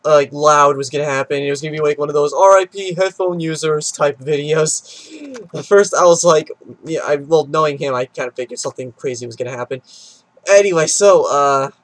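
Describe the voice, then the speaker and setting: A man's voice: on the high side, fairly quick, a bit bright, dry recording. A young adult man chatting casually to a webcam at close range in a small room, hesitant and rambling. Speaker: reaction video host. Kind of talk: casual chat to camera.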